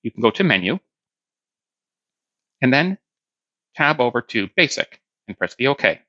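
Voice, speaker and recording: A man's voice: mid-range voice, sing-song, a little slow, sharp tone, dry recording, lo-fi sound. A man giving a hands-on product demonstration, explaining plainly step by step.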